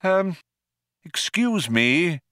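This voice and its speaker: A man's voice: a little high, big pitch swings, takes it slowly, sharp tone, no echo, clean studio sound, voice pushed up, full character voice. A man voicing a courteous, hesitant old craftsman, proud and easily affronted, in a storybook. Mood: flustered, polite. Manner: hesitant, courteous, indignant when slighted.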